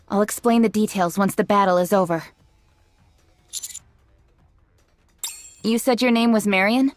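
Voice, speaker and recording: A woman's voice: medium pitch, no room sound, natural voice. A woman playing a businesslike young female android squad leader in a video game, dutiful and curt, speaking formally and with composure. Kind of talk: English video game voice acting.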